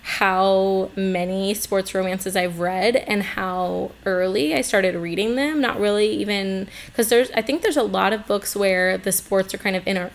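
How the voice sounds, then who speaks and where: A woman in her thirties talks casually on a book podcast, her voice hoarse and gravelly with fry. She laughs often and lets her sentences drift as she chats with her friend. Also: medium pitch, unhurried, few pauses. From lives in Texas. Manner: chatty, laughs easily, trails off mid-sentence. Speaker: podcast co-host. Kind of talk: casual chat.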